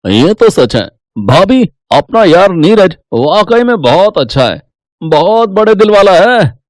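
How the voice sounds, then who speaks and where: Grateful, sociable young cartoon office pal, highish male pitch, dry sound male voice artist. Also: big pitch swings, measured pace, no room sound. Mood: admiring.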